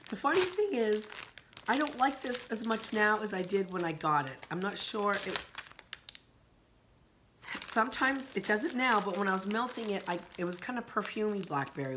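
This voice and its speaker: A middle-aged woman, hoarse from a sinus infection, chatting casually about candles in her living room, rambling with many ums and restarts. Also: medium pitch, background noise. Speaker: vlogger. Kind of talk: casual chat reviewing candles.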